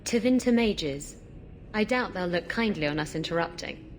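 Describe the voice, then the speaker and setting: A woman's voice: on the low side, animated, moderate pace, slight background noise, close to an everyday voice. A woman as a glib, brash pirate rogue. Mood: glib, evasive. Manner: glib, flippant, wheedling. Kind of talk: video game voice acting.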